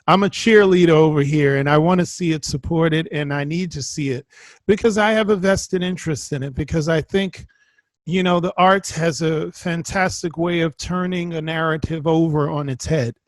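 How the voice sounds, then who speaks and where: Effusive, high-pitched male host, closely miked. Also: normal speed, dry recording. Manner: effusive, emphatic, strings adjectives together. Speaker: podcast host. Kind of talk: interview talk with ringmaster flourishes.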